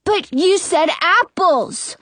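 A woman's voice: very high voice, animated, a little slow, no echo, voice pushed up. A woman voicing a cheeky little brother in a storybook, whiny, blunt and insistent. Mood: indignant.

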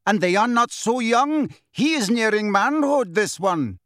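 A man voicing a fierce, proud male centaur warrior who snarls and shouts with hostility in an audiobook. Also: high voice, sing-song, a little slow, no room sound, clean studio sound, voice pushed up, exaggerated voice. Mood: stern.

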